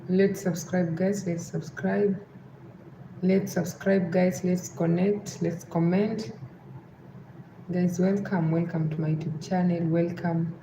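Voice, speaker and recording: A woman's voice: lowish voice, steady tone, slow pace. A young woman from Kenya with a Western Kenyan accent, hosting a casual livestream and repeating pleas to like and subscribe. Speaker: livestream host. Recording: echoey room with background noise.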